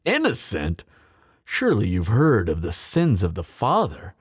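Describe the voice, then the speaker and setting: A bitter, mocking male thief played by a man speaks in a close, dry recording. His delivery swings widely in pitch, sardonic and theatrical. It is a fantasy roleplay spoken straight to the listener, hardened by grief. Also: wildly swooping pitch, breathy edge, no echo, exaggerated voice. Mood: cold, menacing. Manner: sardonic, theatrical, deliberate pauses. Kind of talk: dramatic fantasy audio roleplay, addressed to listener.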